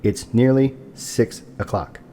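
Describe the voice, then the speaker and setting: A man's voice: mid-range voice, slow, a little hiss or hum, lightly acted. Man acting a stuttering broker in long reverb. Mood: calm insistence. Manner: calm, polite, formal, informing without pleading. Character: unflappable, stuttering male property broker, punctual and insistent. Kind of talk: audio drama acting. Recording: long reverb, music underneath.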